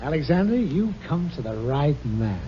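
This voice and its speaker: A man's voice: fairly high pitch, very animated, moderate pace, over a noisy crowd. An actor playing a pompous, sarcastic middle-aged male boss who brags theatrically, in a 1950s radio sitcom. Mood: bragging. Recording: muffled old radio broadcast.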